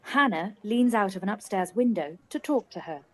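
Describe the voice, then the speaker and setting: A woman's voice: medium pitch, expressive, moderate pace. A woman reading scripted scene narration for a radio play.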